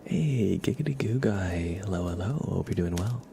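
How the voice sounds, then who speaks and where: A man hosting an ASMR livestream, speaking softly and casually to viewers in a gentle, rambling, hesitant way. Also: lively intonation, normal speed, a little hiss or hum. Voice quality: soft, breathy whispering with creaky low undertone. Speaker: livestream host.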